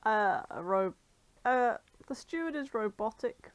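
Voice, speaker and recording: A woman's voice: fairly high pitch, lively intonation, a little slow. A woman chatting casually with fellow readers. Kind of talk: casual chat.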